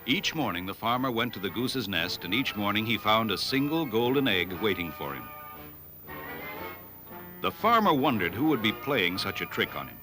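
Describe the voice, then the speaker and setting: Animated storybook fable narrator. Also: male voice, fairly low pitch, over music. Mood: storytelling. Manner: measured, expressive storytelling.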